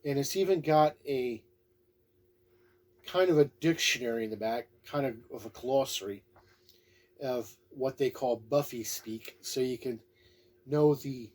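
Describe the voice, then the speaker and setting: A man's voice: medium pitch, darkish tone. Laid-back, plain-spoken man reviewing casually. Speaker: tabletop game book reviewer. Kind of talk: casual unscripted review talk.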